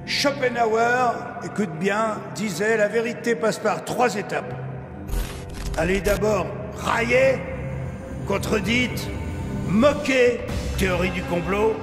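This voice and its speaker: A man with a high voice makes his case emphatically, stretching out words for drama. The sound is roomy with a long reverb and loud music under him. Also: slow. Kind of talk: opinionated commentary. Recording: echoey hall with music bed.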